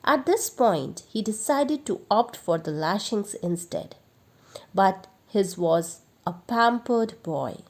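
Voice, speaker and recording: A woman reads a children's story as its narrator. Her pitch sits in the middle for a woman, and she takes her time, telling it expressively. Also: darkish tone.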